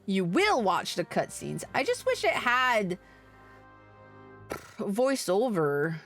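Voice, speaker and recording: A woman's voice: mid-range voice, sing-song, slow, faint music behind. A woman livestreaming a game with casual, sweary, muttered commentary. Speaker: livestreamer playing an online fantasy game. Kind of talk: casual gaming commentary and reading game dialogue aloud.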